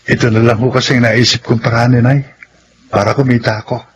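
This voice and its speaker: A man's voice: medium pitch, flat delivery, a little fast, lo-fi sound, a little hiss or hum, lightly acted. A man as a humble reformed ex-con. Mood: earnest. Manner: humble, earnest. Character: reformed ex-convict father, humble and earnest. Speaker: radio drama actor. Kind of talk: classic radio drama acting.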